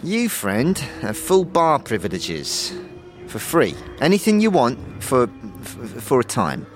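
A man playing a brusque, impatient tavern barkeep in an audio drama, halting and grudging in his delivery. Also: mid-range voice, wildly swooping pitch. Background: prominent music.

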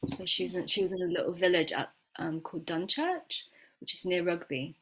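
Woman herbalist guest, raised in Florida then England, lower voice, reflective and unhurried. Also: slight background noise, rough recording. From grew up in Florida, then England. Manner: reflective, hesitant storyteller with many fillers and restarts. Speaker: guest, herbalist and medicine maker. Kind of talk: conversational interview.

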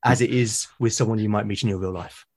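A man's voice: on the low side, fairly even intonation, fast talker, darkish tone. A British man being interviewed as a guest, who talks casually and hesitantly, often repeating words as he starts a sentence. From United Kingdom. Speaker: guest, artist and podcaster. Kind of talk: casual conversation.

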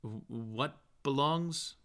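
A man voicing an earnest, well-meaning middle-aged gentleman who lectures in a pompous, verbose, fussy way for an audiobook. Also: mid-range voice, extremely slow, voice pushed up, exaggerated voice. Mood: flustered.

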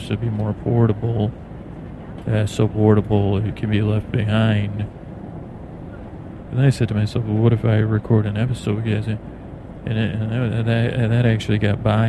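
A man hosting a bedtime podcast in a meandering, halting ramble, stammering and repeating words. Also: lowish voice, gravelly. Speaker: podcast host. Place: on an airplane in flight. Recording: steady airplane cabin noise behind voice. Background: airplane cabin drone.

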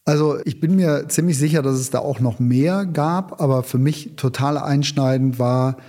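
A man in his fifties, born in northern Italy and raised in Germany, telling lively stories as a musician guest in an interview. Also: mid-range voice. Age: 50s. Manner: lively anecdotal storyteller, quick bursts. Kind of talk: casual storytelling interview.

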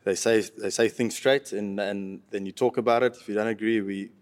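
A man with a South African accent answers casually in a low voice with very little rise and fall. He is understated and halting, often starting a phrase again. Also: steady tone. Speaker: professional rugby player, podcast guest.

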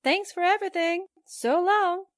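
A woman's voice: very high-pitched, normal speed, dry recording, studio quality. An actress playing a perky, cheeky newspaperwoman sidekick in a 1940s radio drama. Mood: perky, skeptical.

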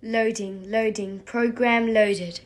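A woman playing an obliging starship computer, making measured announcements in an amateur radio play. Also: fairly high pitch, fairly even intonation. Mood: neutral.

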